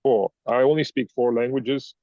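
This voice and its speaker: A gravelly man with a mixed German-Italian accent, German being his first language. He rambles and hunts for words in a casual interview. The sound is dry and dull, like a lo-fi online call. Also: medium pitch, fairly even intonation, normal speed, mellow, no room sound. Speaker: guest. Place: Ibiza.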